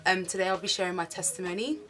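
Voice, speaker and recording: A young woman from Nigeria speaks to camera in a low, dark-toned voice, telling her story with halting ums and restarts. Music plays clearly behind her. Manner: nervous, hesitant, many ums and restarts. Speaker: vlogger sharing a testimony. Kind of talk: casual personal storytelling to camera.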